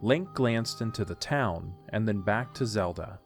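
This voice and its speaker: Male narrator for fantasy audio drama: lowish voice, scripted storytelling, soft music bed underneath. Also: lively intonation, unhurried.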